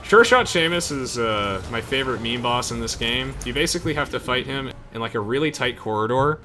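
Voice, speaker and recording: A man's voice: mid-range voice, expressive, fairly quick, sharp tone, faint music behind. A young man giving casual, chatty gameplay commentary to friends, explaining things with hesitant trailing asides. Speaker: gamer hosting a let's play.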